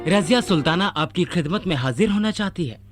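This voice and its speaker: High-voiced deferential palace servant. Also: male voice, lively intonation, fairly quick, faint music behind. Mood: formal. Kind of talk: Hindi radio drama acting.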